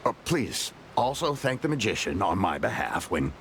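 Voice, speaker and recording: A man's voice: low-pitched, very animated, moderate pace, a bit bright, a little hiss or hum. An actor playing a friendly, earnest and polite townsman in an anime video game. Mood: polite, grateful. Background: game music.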